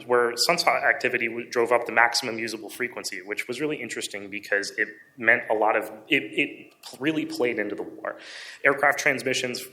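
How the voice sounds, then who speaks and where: A man giving an informative book presentation in a halting way, often correcting himself mid-sentence. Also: fairly low pitch, sharp tone, poor audio.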